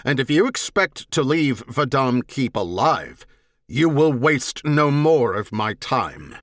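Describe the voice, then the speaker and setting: A man's voice: medium pitch, big pitch swings, slow pace, no echo, clean studio sound, voice pushed up. A man voicing a stern, imperious alien warrior lord, commanding, terse and suspicious, in a science fiction audiobook. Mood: stern, threatening.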